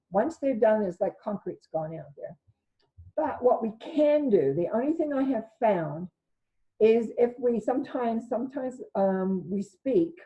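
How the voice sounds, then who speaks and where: A woman with a loud voice giving an informal spiritual talk to an online group and answering questions, often correcting herself as she goes. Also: mid-range voice, highs cut off. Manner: rambling, self-correcting delivery. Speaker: spiritual teacher hosting an online session. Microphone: video call.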